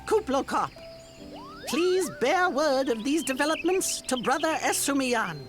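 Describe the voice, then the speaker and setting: An actress playing a cool, composed scholar-adventurer in a fantasy game, formal and dryly wry. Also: female voice, high voice, animated, measured pace. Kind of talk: scripted fantasy game voice acting. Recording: game audio with music underneath.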